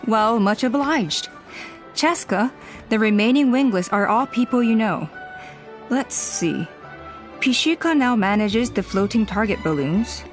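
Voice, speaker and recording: A woman plays an elderly female tribe leader in a fantasy game. She is composed and courteous, with a measured, medium-pitched voice over faint music. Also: close to an everyday voice. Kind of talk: video game voice acting.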